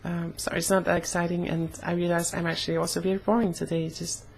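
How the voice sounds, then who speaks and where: A young woman talking casually in a personal vlog, slightly hoarse, with an earnest, halting way of speaking. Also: lowish voice, little pitch movement, slight background noise. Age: young adult. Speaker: vlogger.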